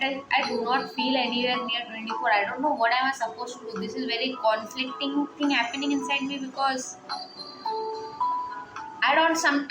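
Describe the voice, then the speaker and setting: A woman in her twenties talking through personal worries in a rambling, hesitant vlog, heavy on fillers. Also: high-pitched. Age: 20s. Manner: quietly upset, reflective. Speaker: vlogger. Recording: echoey room with music playing behind.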